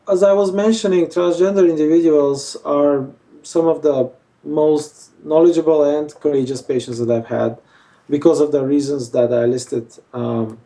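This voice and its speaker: Man, surgeon, gravelly, mellow tone, hesitant with fillers, far from mic. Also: a little high. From Bulgaria. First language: Armenian. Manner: hesitant, many fillers and restarts. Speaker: facial plastic surgeon. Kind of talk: interview answers.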